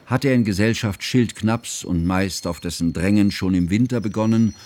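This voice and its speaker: An actor playing an earnest, scholarly friend narrating a composer's life in a formal, measured literary radio drama style. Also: male voice, on the low side, a little mellow, close to an everyday voice. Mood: reflective.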